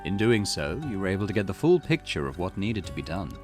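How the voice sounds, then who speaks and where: A man reading aloud the lines of a cryptic, formal male storyteller who guides the player, with a measured, theatrical delivery. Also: deep voice, sing-song. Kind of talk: reading game dialogue aloud. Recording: game music playing behind.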